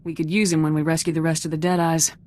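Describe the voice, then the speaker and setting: An actress playing a confident, steady military squad commander with a dry teasing streak in a video game. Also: female voice, sits low, fast talker, close to an everyday voice. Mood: businesslike. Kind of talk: video game dialogue acting. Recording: music behind the voice.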